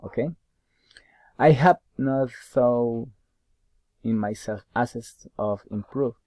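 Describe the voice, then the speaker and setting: A young adult man, a native Spanish speaker with a Spanish accent, answers study questions aloud in English. He is halting and repeats words while searching for them. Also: medium pitch, mellow, dry recording. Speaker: university English student.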